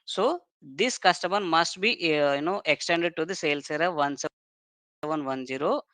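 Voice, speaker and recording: A software trainer walks through technical material step by step. The voice is clean and smooth, with a dry sound free of room echo. The delivery is explanatory, with points often closed by brief checking tags. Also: medium pitch, moderate pace.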